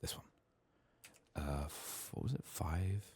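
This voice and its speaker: A man softly narrating his video game in an ASMR style, musing to himself in a hushed, slightly strained, under-the-weather voice. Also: low voice, takes it slowly, background noise. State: sick, strained voice. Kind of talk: soft-spoken, partly whispered ASMR game commentary.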